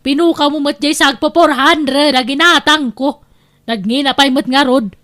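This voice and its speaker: A woman's voice: sits high, moderate pace, fairly bright tone. A woman with an Ilocano accent playing a bossy, boastful aunt who scolds in an audio drama. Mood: scolding. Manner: scolding, insistent. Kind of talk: Filipino audio drama acting.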